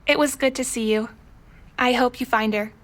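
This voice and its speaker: An actress playing a friendly, sympathetic acquaintance in naturalistic audio drama dialogue, chatty in manner. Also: female voice, on the high side, steady tone, moderate pace, fairly bright tone, close to an everyday voice. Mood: friendly, surprised.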